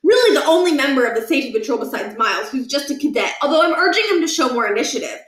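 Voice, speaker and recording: A woman's voice: sits high, very animated, rapid-fire, a bit bright, recorded from a distance, voice pushed up. A goody-goody schoolboy played by a woman reading a storybook, chatty and pompous. The voice is raised and eager, full of prim self-importance, in an echoey room. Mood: officious, boastful.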